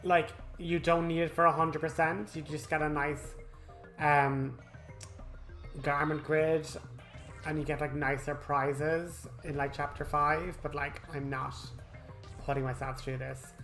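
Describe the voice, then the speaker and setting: Low-voiced woman chatting over game music. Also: flat delivery, measured pace, a bit bright. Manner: chatty, informal, addresses viewers directly. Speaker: gaming video host. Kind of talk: casual game walkthrough commentary. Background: video game music.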